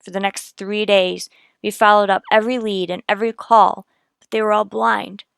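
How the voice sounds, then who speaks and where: Woman playing a smooth-voiced, terse police detective. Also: mid-range voice, level tone, moderate pace, a bit bright, no echo. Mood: terse, factual. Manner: terse, matter-of-fact. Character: dogged, businesslike female police detective narrating her case. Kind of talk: clipped police-procedural radio drama narration.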